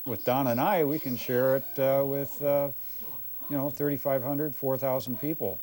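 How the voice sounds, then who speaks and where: A man's voice: mid-range voice, fairly even intonation, moderate pace, mellow, rough recording. An older man with an American accent, casually reminiscing as an interviewee. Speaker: interviewee, hobby collector.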